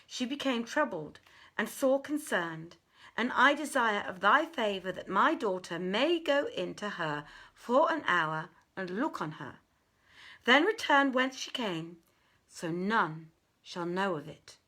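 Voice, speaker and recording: A woman voicing a devoted, scheming mother from an old tale, speaking in formal, archaic, deliberate phrases. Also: medium pitch, big pitch swings, pushed and bright, exaggerated voice. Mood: pleading, confiding.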